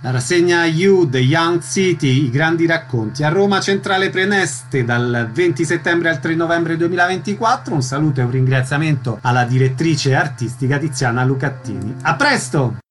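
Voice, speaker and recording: A man's voice: fairly high pitch, normal speed, few pauses, a bit bright, soft background music. A man presenting on radio as host and interviewer, in a concise announcer-like way.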